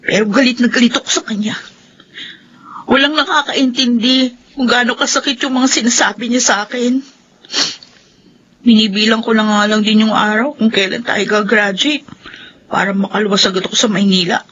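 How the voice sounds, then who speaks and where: A woman's voice: on the high side, steady tone, moderate pace, long pauses, a bit bright, breathy edge, lo-fi sound. A woman playing a put-upon, aggrieved eighteen-year-old student in a Filipino radio drama, with a plaintive delivery. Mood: bitter, confiding.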